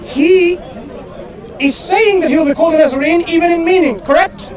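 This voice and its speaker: A man's voice: very high voice, a little fast. A man debating on the street, insistent, repeating himself to keep the floor. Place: outdoors in a city. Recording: crowd noise outdoors. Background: crowd of onlookers talking.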